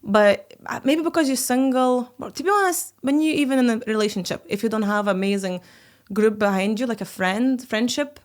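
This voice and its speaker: A woman's voice: on the high side, brisk, hardly any pauses. A young adult woman from Lithuania, a native Lithuanian speaker with a Lithuanian accent, chatting casually and expressively as a podcast guest. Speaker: podcast host being interviewed. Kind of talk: casual chat.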